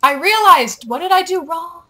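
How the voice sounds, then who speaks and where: A young woman gamer with a playful, excitable, teasing manner, chatting over gameplay and reading game dialogue aloud. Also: very high-pitched, moderate pace. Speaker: gamer, video host.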